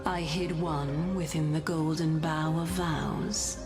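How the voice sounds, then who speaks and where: A woman playing an enigmatic, composed, mature mystical lady in an anime game dub, measured and theatrical, with a breathy delivery. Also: sits low, fairly even intonation. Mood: grave. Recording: game music behind.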